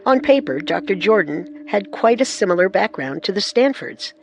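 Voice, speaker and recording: A narrator delivering measured, deliberate scripted documentary narration. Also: mid-range voice, big pitch swings, dull audio, faint music behind.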